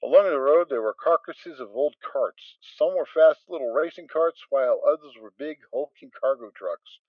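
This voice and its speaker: Expressive male storybook narrator. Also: on the high side, normal speed, dry recording. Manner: expressive reading. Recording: dull, dry lo-fi recording.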